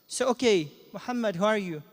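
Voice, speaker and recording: A man who speaks English as a second language, moderating a panel informally with halting, repetitive phrasing. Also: high voice, expressive. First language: not English. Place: event hall at a live panel. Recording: echoey hall with long reverb.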